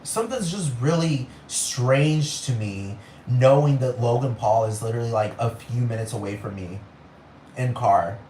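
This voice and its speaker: A man's voice: mid-range voice, moderate pace, warm tone, a little hiss or hum. Rambling Puerto Rican man ranting solo. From Puerto Rico, raised in Texas. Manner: rambling, profane, keeps asking "do you understand". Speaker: podcast host. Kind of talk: solo podcast rant. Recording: roomy room with faint ambience.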